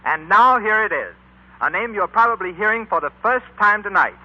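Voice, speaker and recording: A man's voice: sits high, animated, moderate pace. A man playing a formal, genial American contest host, declamatory in 1940s radio announcing style. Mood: ceremonious. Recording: muffled, hissy 1940s radio broadcast.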